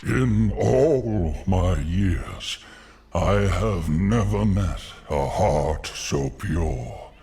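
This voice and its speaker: An actor playing an ancient, benevolent nature spirit in a fantasy game, gravelly, solemn and formal. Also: male voice, low voice, lively intonation, drawn-out words, warm tone, full character voice. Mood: solemn, kindly.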